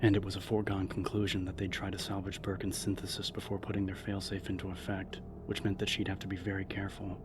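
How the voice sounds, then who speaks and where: A man reading a novel as an audiobook narrator, in a measured, serious, dramatic style. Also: low voice, completely flat delivery, background noise. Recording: narration over background music.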